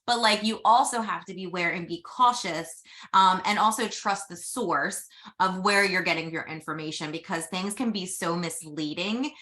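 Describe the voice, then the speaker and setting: Bright chatty woman in her 40s, podcast host, quick asides. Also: lowish voice. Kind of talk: casual chat.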